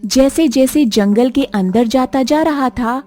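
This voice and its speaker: A woman's voice: sits high, slow, a little mellow. A woman narrating a scripted story as the storyteller of a cartoon tale.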